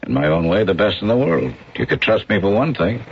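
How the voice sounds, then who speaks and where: An actor playing a brash, slangy, persuasive American showbiz agent in a radio drama, with a cocky hard-sell manner. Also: male voice, fairly low pitch, sing-song, fairly quick, gravelly, husky, heavily acted. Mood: pushy persuasion. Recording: dull, faintly noisy radio broadcast recording.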